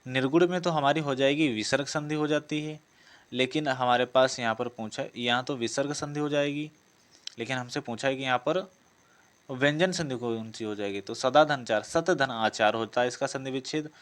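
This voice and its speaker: A man's voice: medium pitch, moderate pace, fairly bright tone. A man explaining practice exam questions in a lesson. He reads each option aloud, confirms it, and corrects himself as he goes. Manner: self-correcting, repetitive phrasing. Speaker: exam coaching teacher.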